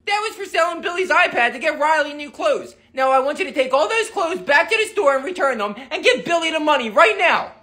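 Woman as a feisty grandmother, bossy, doting, lively swooping delivery, comedy skit. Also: sits high. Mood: scolding. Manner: brisk, scolding, drawn-out greetings.

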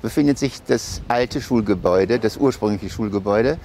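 A man's voice: fairly low pitch, normal speed, darkish tone. An older man, a former school principal, describing the surroundings in an interview. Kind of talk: explaining a place on site. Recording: faint ambient noise.

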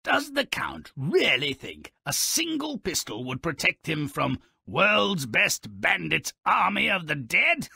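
Gravelly, sneering little gnome narrator. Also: male voice, high voice, sing-song, takes it slowly, few pauses, pushed and bright, dry recording, clean studio sound, exaggerated voice. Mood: gleeful, mocking. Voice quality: raspy, gravelly. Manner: sardonic, pompous, alliterative, relishing each word. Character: gruff, mischievous, sneering gnomish storybook narrator. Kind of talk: theatrical video game narration.

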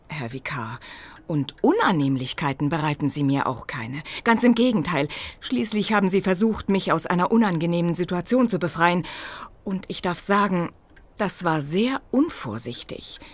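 Lively, firm lady of the house. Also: fairly low pitch, moderate pace. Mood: grateful, reproving. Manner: polite, firm, commanding. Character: self-possessed, sensible, courteous lady of the house. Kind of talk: German radio play acting.

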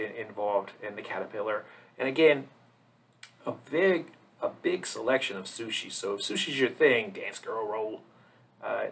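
A man with a husky, slightly gravelly voice giving a casual, rambling tour narration as a vlog host, with hesitant pauses. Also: lowish voice, fairly bright tone, slight background noise. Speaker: travel vlogger, host.

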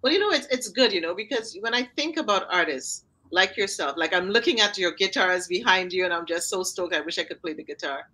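A woman's voice: fairly low pitch, lively intonation, brisk, hardly any pauses, bright, forceful tone. A middle-aged woman with a slight Trinidadian accent, hosting an interview show in an enthusiastic, encouraging way over a video call. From Trinidad and Tobago heritage. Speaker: talk show host and mental fitness coach. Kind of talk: warm upbeat interview hosting. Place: home room on a video call.

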